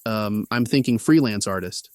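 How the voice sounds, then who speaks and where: A man's voice: fairly low pitch, moderate pace, no echo, lightly acted. A man acting an easygoing, slightly stressed college-age artist in an audio drama, talking casually with some hesitation. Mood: casual, animated. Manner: casual, hesitant slangy talk.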